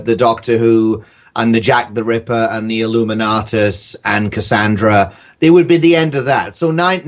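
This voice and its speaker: A man chatting as a podcast guest, thoughtful and hesitant, often circling back over his phrases. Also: mid-range voice. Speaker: audio drama creator, podcast guest. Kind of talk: casual interview chat.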